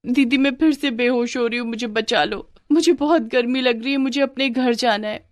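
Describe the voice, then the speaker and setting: A woman acts out a frightened young sister in a cartoon moral tale. She is high-pitched, whiny and pleading, as if about to faint, in a dry studio sound. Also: normal speed, no echo, lightly acted. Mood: weak, panicked. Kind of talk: Hindi moral-story cartoon dub.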